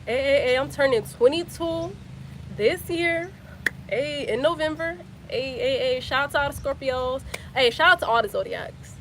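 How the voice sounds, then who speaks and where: Slangy girl in her twenties talking on her phone outside, kinda high voice, faint traffic behind. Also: animated, normal speed. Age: 20s. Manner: chatty, repeats phrases, slangy. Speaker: livestreamer. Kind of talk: casual live chat with viewers.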